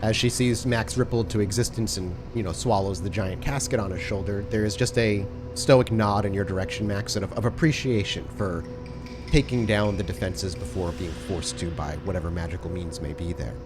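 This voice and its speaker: A man's voice: on the low side, normal speed, over music. A man working as game master, improvising descriptive narration for a tabletop horror role-playing game.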